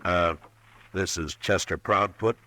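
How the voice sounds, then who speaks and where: An actor playing a steady, firm frontier marshal in an old radio drama, speaking in terse, measured lines. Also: male voice, deep voice, a little hiss or hum. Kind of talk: 1950s radio drama acting.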